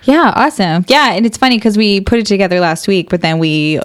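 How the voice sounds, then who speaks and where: A woman co-hosting a casual podcast, agreeing often and tossing in quick jokes. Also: medium pitch. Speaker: podcast co-host. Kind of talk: casual chat.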